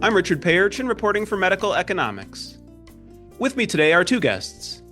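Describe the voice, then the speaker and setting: A man's voice: sits high, sing-song, fairly quick, music in the background. A man working as a reporter, hosting a formal interview and introducing his guests and questions.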